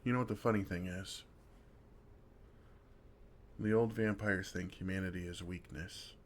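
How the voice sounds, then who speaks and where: A man playing a kind, wry older vampire who comforts a fledgling in a soft-spoken, reassuring ASMR roleplay, gently teasing with pauses. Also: low voice, fairly even intonation, a little hiss or hum. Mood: earnest, firm comfort. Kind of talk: intimate ASMR roleplay, second-person comforting.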